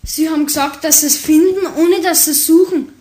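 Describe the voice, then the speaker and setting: Young Bavarian lad, high pitched, skeptical yet polite and a bit shy, radio drama. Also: female voice, fairly even intonation, fairly quick, warm tone. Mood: doubtful. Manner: polite, doubting dialect talk. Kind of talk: radio play acting.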